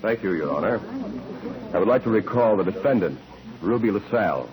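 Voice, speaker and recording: An actor playing a methodical lawyer examining witnesses in a 1940s radio drama, formal, deliberate and insistent in his questioning. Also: lowish voice, expressive, over a noisy crowd, close to an everyday voice. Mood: formal, probing. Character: courtroom examiner, a probing, methodical male lawyer. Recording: noisy old 1940s radio recording.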